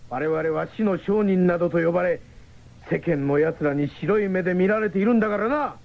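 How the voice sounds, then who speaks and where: An actor playing an impatient, blustering old arms-dealer boss in a 1970s radio drama. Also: male voice, sits high, normal speed, gravelly. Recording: dull lo-fi radio recording.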